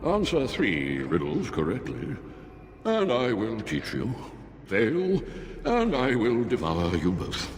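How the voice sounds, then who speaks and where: Fantasy game sloth demon: weary, condescending, slow, with wildly swooping pitch. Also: male voice, huge pitch swings. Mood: menacing challenge. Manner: languid, weary, condescending, drawn-out delivery. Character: bored, languid demon of sloth in beast form. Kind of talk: fantasy video game voice acting. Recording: long reverb, music underneath.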